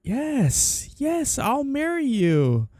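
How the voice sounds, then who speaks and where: A man's voice: extremely high pitch, huge pitch swings, drawn-out words, a little mellow, no room sound, clean studio sound, heavily acted. A man playing a flirty, two-faced mafia boss in an ASMR period roleplay, with coy, teasing lines and sugary endearments. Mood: sweetly gushing.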